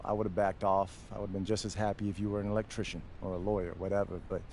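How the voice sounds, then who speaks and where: A man's voice: low voice, flat delivery, fast talker, darkish tone, a little hiss or hum. A man playing a proud, encouraging father and former athlete, with an American accent and colloquial delivery, in a video game drama. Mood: heartfelt. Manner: colloquial, heartfelt.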